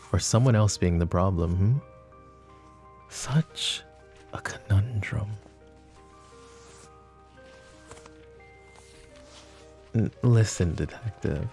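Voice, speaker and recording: A man's voice: low-pitched, dark tone. A man playing a smug, flirty, possessive mafia boss in an ASMR roleplay, speaking in a teasing, mocking, intimate drawl.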